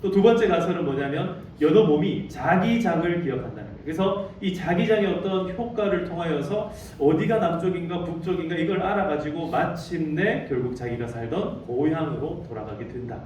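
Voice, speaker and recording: A man's voice: fairly high pitch, lively intonation, a little fast, hardly any pauses, a little mellow, slight background noise. A male pastor from Daegu preaching a Sunday sermon, telling a story and putting questions to the congregation. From Daegu, South Korea. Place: church sanctuary. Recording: echoey sanctuary, distant mic.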